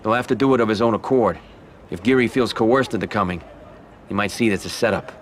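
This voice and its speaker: An actor playing a businesslike, inquisitive American mafia underboss in a video game cutscene, direct and plain-spoken. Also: male voice, fairly low pitch, fast talker, a little hiss or hum, natural voice.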